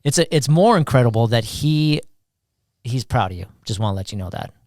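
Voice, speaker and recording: A man's voice: mid-range voice, animated, fairly quick, darkish tone, no echo, studio quality. A man hosting a podcast, speaking in an encouraging, emphatic way and often repeating himself. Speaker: podcast host. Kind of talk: casual chat.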